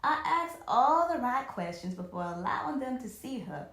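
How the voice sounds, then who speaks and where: A woman voicing a friendly, excitable male veterinarian from a children's storybook, eager, reassuring and emphatic. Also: high voice, moderate pace, echoey room, exaggerated voice.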